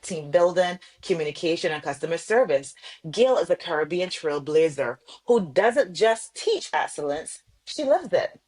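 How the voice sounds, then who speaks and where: Woman, podcast host, lowish voice, upbeat and emphatic. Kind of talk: scripted intro then casual interview.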